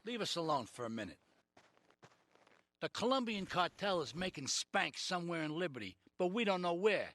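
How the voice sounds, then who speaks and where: An actor playing an aging, scheming Italian-American mob boss in a crime video game, giving deliberate orders in tough slang. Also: male voice, high voice, big pitch swings, pushed and bright, heavily acted. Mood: stern, suspicious. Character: aging Italian-American mafia don, commanding, scheming, paranoid.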